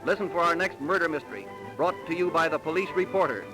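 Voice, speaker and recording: A man's voice: a little high, fast, music in the background. Male announcer on vintage 1930s radio.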